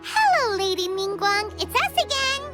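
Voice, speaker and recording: A woman voicing a tiny, excitable female fairy companion in a game, bubbly and full of exclamations. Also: very high-pitched, moderate pace. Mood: cheerful. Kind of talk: game cutscene voice acting. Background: game music.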